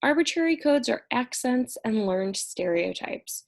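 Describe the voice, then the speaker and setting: A woman's voice: a little high, expressive, unhurried. A young woman recording an exam review lecture at home, explaining each topic conversationally while sounding stressed and short on sleep. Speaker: college instructor.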